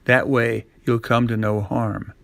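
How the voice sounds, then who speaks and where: A man voicing a caring storybook mother, gently firm as she cautions her child. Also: mid-range voice, flat delivery, a little slow, creaky, dry recording. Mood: caring, cautioning. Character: caring mother warning her young daughter.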